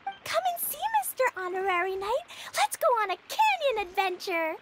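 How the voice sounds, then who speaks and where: Casting: excitable little girl mage, tiny very high voice, lively swings, music behind. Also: moderate pace, heavy background noise. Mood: eager, excited. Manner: excitable, childlike exclamations.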